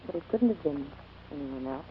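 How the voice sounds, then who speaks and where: An actress playing a wounded, bedridden woman, faint, gentle and resigned, in 1940s radio drama style. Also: low-pitched, lively intonation, a little fast. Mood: weak, tender. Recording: muffled, noisy 1940s radio recording.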